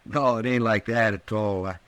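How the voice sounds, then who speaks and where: A man playing an obstinate young-love-struck cowhand in a radio Western. His voice is low, with a rural Western American drawl, halting and brooding. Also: steady tone, fairly bright tone, lightly acted. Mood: earnest, stubborn. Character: stubborn, lovestruck, brooding cowhand with a rifle. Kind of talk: 1950s radio drama acting.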